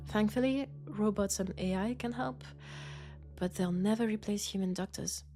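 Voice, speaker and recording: A woman with a French accent, sick with a cold, playing a gentle, sociable traveling doctor from Mars in an intimate sci-fi audio roleplay, chatty and soft. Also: mid-range voice, normal speed, soft background music, natural voice. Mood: warm, reflective. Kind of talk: intimate sci-fi roleplay acting, one-sided conversation.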